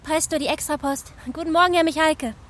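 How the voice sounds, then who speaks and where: A woman's voice: sits high, steady tone, brisk, very noisy, lightly acted. An actress playing a brash, stubborn young postwoman with a colloquial manner in a radio play. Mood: businesslike. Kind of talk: radio drama acting.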